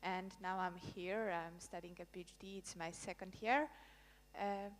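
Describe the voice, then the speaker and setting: A woman's voice: fairly low pitch, steady tone, measured pace. A young woman with a Ukrainian accent introducing herself hesitantly and informally as a student panelist. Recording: reverberant hall over microphone.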